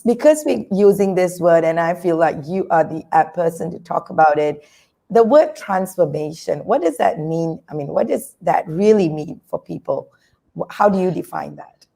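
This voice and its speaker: A woman hosting an interview, introducing her guest and asking questions in a warm, encouraging way. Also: lowish voice, animated, moderate pace, creaky. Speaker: interview host.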